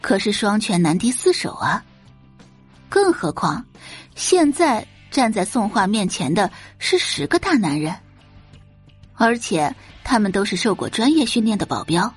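A woman's voice: medium pitch, big pitch swings, unhurried, breathy edge, faint music behind. A woman reading scripted, dramatic audiobook narration as the narrator of a story.

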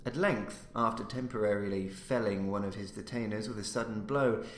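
A man reading classic horror fiction aloud as scripted audiobook narration, in a measured, formal storytelling manner. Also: lowish voice, steady tone. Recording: roomy, echoey indoor sound.

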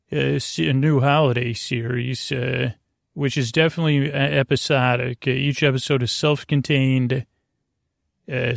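Creaky, meandering man hosting a sleep podcast. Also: medium pitch, no echo, studio quality. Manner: meandering, hesitant, goes off on whimsical tangents. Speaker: podcast host. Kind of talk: rambling bedtime sleep podcast monologue.

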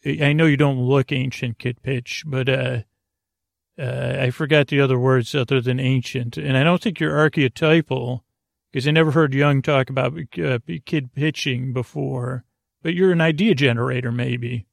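A man with a gravelly voice hosting a sleep podcast, talking in a halting, meandering way, stammering and drifting into tangents. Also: mid-range voice, steady tone, no room sound, studio quality. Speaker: podcast host. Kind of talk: rambling bedtime monologue for a sleep podcast.

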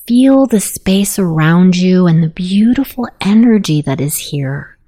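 A woman leading a guided meditation in a soothing, hushed manner with long pauses. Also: on the low side, expressive. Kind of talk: guided meditation, self-hypnosis narration.

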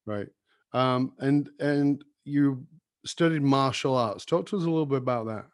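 A man hosts an interview and asks his guest short follow-up questions. He talks in a conversational, encouraging way and often adds brief acknowledgements. His voice sits at an ordinary middle pitch. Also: moderate pace.

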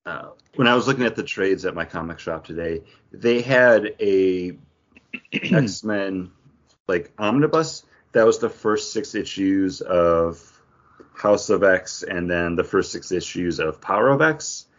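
Very low-voiced man, lo-fi podcast sound. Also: animated, unhurried. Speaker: podcast co-host. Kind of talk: casual chat. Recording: dull lo-fi podcast audio.

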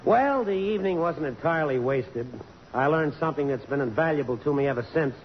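An actor playing a wry, persistent photographer and jilted suitor, with an American accent, in mid-century radio drama style. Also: male voice, a little high, expressive, a little fast, close to an everyday voice. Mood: wry, wistful. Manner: wry, sardonic. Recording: dull, noisy old radio broadcast recording.

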